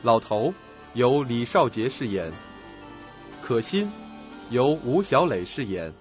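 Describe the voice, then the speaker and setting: A male narrator of a suspense radio drama speaks at an unhurried pace, with animated intonation. Faint music plays behind him. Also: mid-range voice. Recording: slightly dull audio with music. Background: background music.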